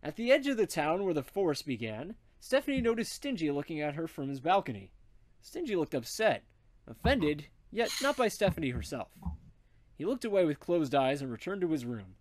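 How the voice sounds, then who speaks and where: A man's voice: on the high side, very animated, brisk, dry recording, poor audio. A man narrating a comic story as he reads it aloud. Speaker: narrator, reader.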